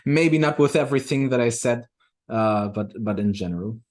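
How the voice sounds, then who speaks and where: A man with a mid-pitched voice talks in a casual, conversational way. He is reflective and halting, often doubling back to restart a phrase before he finishes the thought. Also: a little mellow. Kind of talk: casual discussion.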